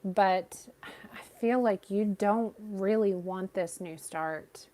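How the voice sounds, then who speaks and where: A woman giving a live tarot reading with a soothing, gentle voice, talking straight to her viewers. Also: mid-range voice, slow. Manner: gentle, reassuring, addresses viewers by name. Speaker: tarot reader.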